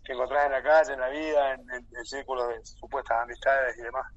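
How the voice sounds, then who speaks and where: A man's voice: mid-range voice, little pitch movement, quick pace, muffled recording. A man in his thirties with an Argentine accent, a boxer guest talking on a radio phone interview, hesitant and repeating words, laughing easily. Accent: Argentine Spanish. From San Martín de los Andes, Argentina. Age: 30s.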